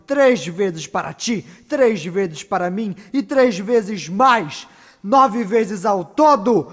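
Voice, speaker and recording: Slow, reverberant witch, acted by a Brazilian man. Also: high-pitched, voice pushed up, heavily acted. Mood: frenzied chanting. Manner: declamatory, drawn-out, swinging from hush to loud chant. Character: eerie, incantatory witches prophesying to a warrior. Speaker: stage actor. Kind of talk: theatrical Shakespeare monologue in Portuguese. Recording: long reverberant hall echo.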